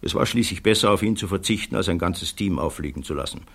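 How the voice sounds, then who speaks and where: An actor playing a thoughtful physicist giving measured, earnest testimony in a German radio play. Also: male voice, low-pitched, a bit bright. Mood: candid, composed. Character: thoughtful male physicist witness, earnest, troubled by conscience.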